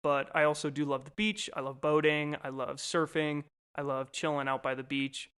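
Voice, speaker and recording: Young male co-host, twenties, smooth clear voice, relaxed rambling chat. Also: fairly high pitch, normal speed. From Colorado, USA. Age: 20s. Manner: rambling, easygoing, lots of fillers. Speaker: podcast co-host. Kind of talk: casual chat.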